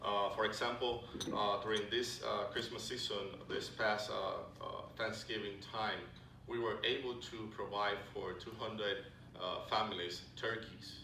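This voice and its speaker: A middle-aged man with a Puerto Rican accent giving a friendly, halting talk to a club, with many fillers and restarts. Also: fairly low pitch, fairly even intonation, gravelly, sharp tone, far from the mic. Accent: Puerto Rican Spanish. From Puerto Rico. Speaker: Salvation Army officer speaking to a club. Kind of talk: informal presentation to a luncheon audience. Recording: echoey hall recorded from afar, background noise.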